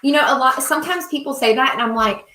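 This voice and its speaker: A woman's voice: sits high, fast talker, bright, forceful tone, a little hiss or hum. A young woman with a Southern US accent chats casually while working on crafts, gushing and thanking her viewers on a live stream. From South Carolina, US. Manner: chatty and gushing, reading viewer comments aloud. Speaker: crafting channel host. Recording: roomy echo.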